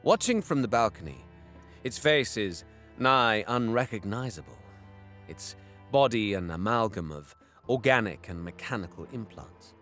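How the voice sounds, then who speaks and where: Bitter young alien prisoner-prince male actor, measured dramatic narrator, lively intonation, soft music behind. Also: on the low side. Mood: brooding narration. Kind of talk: first-person dramatic narration and dialogue.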